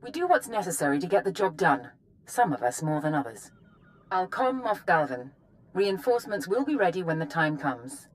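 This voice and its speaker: A woman's voice: fairly low pitch, sing-song, normal speed, slight background noise, low-quality recording. A woman playing a proud, uneasy military captain in a video game, speaking formally and emphatically. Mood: resolute. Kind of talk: video game character dialogue.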